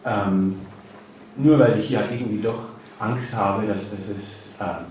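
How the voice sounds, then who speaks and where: A man's voice: lowish voice, fairly even intonation, takes it slowly, a little mellow. Hesitant man, echoey hall. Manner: hesitant, many fillers and restarts. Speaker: author giving a reading. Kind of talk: informal introduction before a reading. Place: reading hall before an audience. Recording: echoey hall, distant mic, noise.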